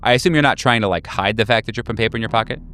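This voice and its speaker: A man working as game master, improvising tabletop horror narration in a halting, conversational, self-correcting way. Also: medium pitch, pushed and bright.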